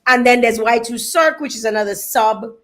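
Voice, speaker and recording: A woman's voice: on the high side, normal speed. A woman hosting a casual live interview, chatting playfully and circling back over her phrases as she builds up a question. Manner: rambling, repeats phrases, playful. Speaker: live show host and interviewer.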